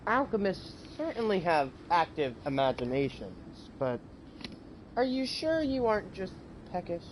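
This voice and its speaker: A woman's voice: on the low side, sing-song, unhurried, slight background noise, rough recording. A woman streaming a game, giving casual live commentary and reading dialogue aloud. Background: game music.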